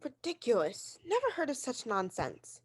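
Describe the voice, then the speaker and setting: A woman's voice: on the high side, huge pitch swings, normal speed, very noisy. A woman acting a neurotic, demanding, haughty invalid wife in a radio mystery drama, agitated and insistent. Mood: indignant.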